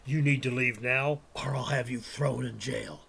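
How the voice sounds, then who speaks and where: Casting: actor for threatening male grouch in a radio play, raspy and gravelly, scornful delivery. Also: mid-range voice, fairly even intonation, moderate pace, fairly bright tone, full character voice. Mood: stern, threatening. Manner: stern, scornful, bullying. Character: mean, threatening man chasing off a vagrant. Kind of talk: audio drama acting.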